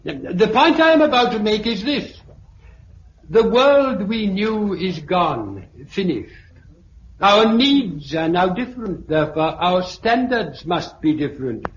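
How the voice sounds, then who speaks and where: An actor playing an elderly British sociology professor, pompous and pedantic, lecturing a meeting in a 1950s radio drama. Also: male voice, sits high, big pitch swings, heavily acted. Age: older. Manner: pedantic, deliberate, oratorical. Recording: muffled, noisy, echoey 1950s radio recording.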